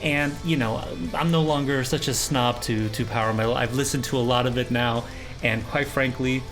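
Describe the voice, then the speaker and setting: A music reaction co-host talks in a casual, slangy way, swearing and joking along. The voice sits at a medium pitch, with music faintly behind it. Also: fairly even intonation, moderate pace, a bit bright.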